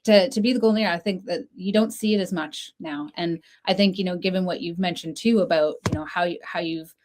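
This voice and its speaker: A woman's voice: lowish voice, fairly quick, darkish tone. A woman co-hosting a podcast, giving thoughtful, long, winding remarks in casual chat. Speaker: podcast co-host.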